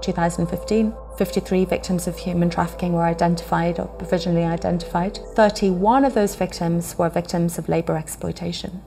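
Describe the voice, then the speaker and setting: A woman's voice: fairly low pitch, fairly even intonation, a little fast, gravelly, few pauses, dark tone, soft background music. A woman in her thirties giving facts and figures in a documentary interview. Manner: factual, citing figures. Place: studio interview setup.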